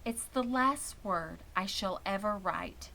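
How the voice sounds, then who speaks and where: Woman as a calm, wise storybook spider. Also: mid-range voice, creaky, slight background noise, heavily acted. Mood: calm, tired. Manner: gentle, measured, reassuring.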